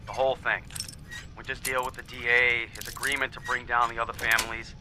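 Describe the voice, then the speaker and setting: An earnest, defensive lawman in a video game drama. His voice is mid-pitched and strikingly sharp and tense, pleading his case, with faint noise behind it. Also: level tone, a little fast. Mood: resigned frustration. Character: apologetic male colleague of a detective, earnest and defensive.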